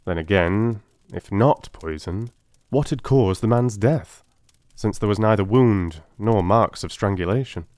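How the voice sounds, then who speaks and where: A man narrates in a fairly low voice. His delivery is measured, grave and theatrical, as in a dramatised first-person audiobook. The sound is dry, with no room around it. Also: darkish tone, no room sound.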